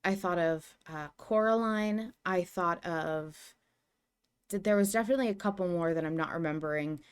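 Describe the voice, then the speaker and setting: Smooth, low-voiced woman podcast host. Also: moderate pace. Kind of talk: casual fan discussion.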